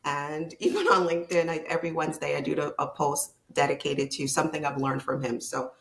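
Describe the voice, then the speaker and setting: A woman's voice: low voice, steady tone, a little fast, gravelly. A woman from Barbados, a leadership consultant, talking as a guest in a conversational podcast interview, reflective and emphatic.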